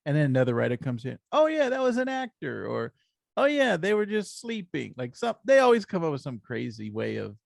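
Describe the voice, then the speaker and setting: A man's voice: fairly high pitch, very animated, fairly quick, vocal fry, dry recording, clean studio sound. An American man talking casually as a comics podcast co-host, explaining things in an agreeable way. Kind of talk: casual chat.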